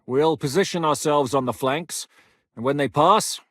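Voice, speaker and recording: A calm, scheming soldier. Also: male voice, on the high side, no echo, studio quality. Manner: measured, matter-of-fact plan-laying. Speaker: military planner in a video game. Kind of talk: game voice lines.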